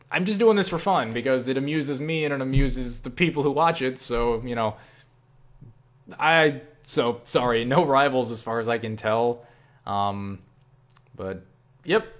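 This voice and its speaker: A young man answering viewer questions in a casual, rambling, self-deprecating way, as an online creator. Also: medium pitch. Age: young adult. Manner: self-deprecating, animated asides with acted-out quotes.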